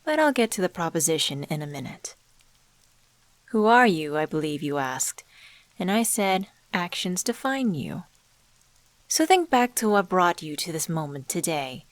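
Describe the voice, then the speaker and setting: A mysterious female stranger acted by a woman in an ASMR roleplay drama, speaking in a somewhat low voice with a very dry, close sound. She is suave and measured, posing rhetorical questions with teasing, smoothly condescending confidence, as if she knows everything about the listener. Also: no echo. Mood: knowing, pointed. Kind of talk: scripted ASMR roleplay monologue spoken to the listener.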